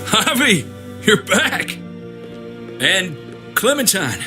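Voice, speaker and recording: A blunt, anxious male survivor, exasperated and sweary. His voice sits on the high side and moves animatedly, with music clearly behind it. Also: big pitch swings, drawn-out words, full character voice. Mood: surprised. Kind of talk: video game voice acting.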